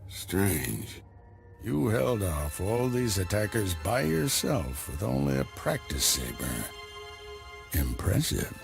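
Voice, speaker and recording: An actor playing an older male Jedi Master in a video game, with a calm, reassuring manner. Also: fairly low pitch, slow pace, gravelly, exaggerated voice. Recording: game cutscene with music behind.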